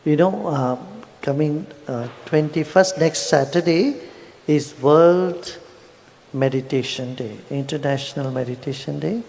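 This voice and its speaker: A man leading a guided meditation, then chatting with a crowd in a soft, slow manner with small "huh?" asks. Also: fairly high pitch. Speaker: meditation teacher. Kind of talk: guided meditation and public address.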